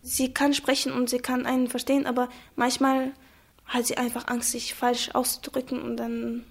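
Young woman from Chechnya, native Chechen speaker, soft-spoken, hesitant, fairly high voice. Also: level tone, creaky. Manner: reflective, soft-spoken, hesitant with frequent pauses. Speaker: interviewee. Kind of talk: personal testimony.

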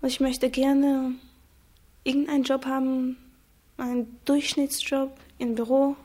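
Young woman from Chechnya, native Chechen speaker, soft-spoken, hesitant, fairly high voice. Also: little pitch movement. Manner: reflective, soft-spoken, hesitant with frequent pauses. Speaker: interviewee. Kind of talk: personal testimony.

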